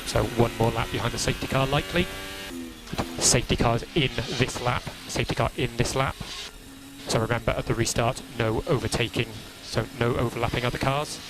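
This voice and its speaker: A man working as a race engineer, giving calm, procedural briefings over team radio and repeating key instructions in a measured way. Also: mid-range voice, over music. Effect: team radio transmission. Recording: crackly noisy motor racing team radio.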